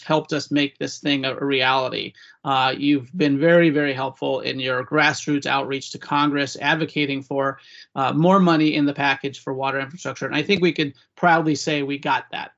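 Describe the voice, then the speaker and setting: A man with a hoarse voice from a cold, hosting a policy briefing podcast and speaking haltingly with many fillers and restarts. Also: a little high, fairly bright tone, dull audio. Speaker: podcast host, legislative director.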